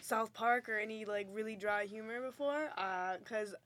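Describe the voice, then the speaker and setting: A woman's voice: a little high, moderate pace, a bit bright, a little hiss or hum. A young adult woman chatting casually as a panelist, slangy and sweary, peppering her talk with "like". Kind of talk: casual chat.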